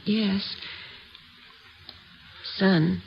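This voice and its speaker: A woman's voice: mid-range voice, fairly even intonation, extremely slow, vocal fry. An actress playing a fretful, anxious mother, hesitant and pleading in 1940s radio drama style. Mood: worried, coaxing. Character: worried, fretful mother of a young boy. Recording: muffled, noisy 1940s radio broadcast.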